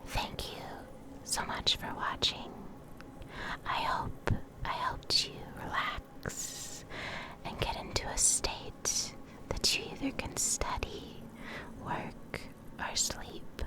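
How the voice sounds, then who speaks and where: A woman reading in a soft, breathy whisper for ASMR, in short deliberate phrases with pauses, close to the microphone. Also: low-quality recording. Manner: slow, deliberate, pausing between short phrases. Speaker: ASMR video creator reading game lore. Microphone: Blue Yeti desktop microphone, close up.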